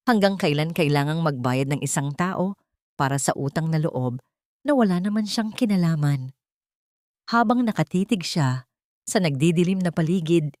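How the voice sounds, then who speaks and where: A woman narrates a dramatic love story as a storyteller. Her voice sits a little low, and the recording is dry, with very little room sound. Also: mellow, dry recording.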